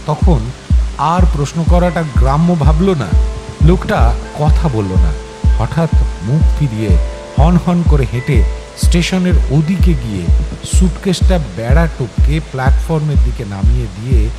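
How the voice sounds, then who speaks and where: A male storyteller reads with suspense and drama, his fairly high voice swinging widely in pitch. He performs the scripted tale expressively, giving questions an acted edge. Music plays clearly behind him. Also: mellow. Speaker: audio story narrator. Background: clear background music.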